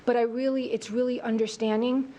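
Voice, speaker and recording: A woman's voice: a little high, little pitch movement, a little fast, mellow, lo-fi sound. A woman whose first language is Greek, answering a school board committee in a meeting room with earnest, thoughtful speech full of fillers. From Greece. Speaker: assistant principal candidate.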